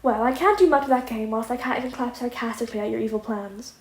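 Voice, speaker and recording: Teen boy student played by a woman, sarcastic and nonchalant, high voice, roomy sound, fan story reading. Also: quick pace, close to an everyday voice. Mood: flippant. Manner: flippant, deadpan excuses. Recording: echoey room, audible noise.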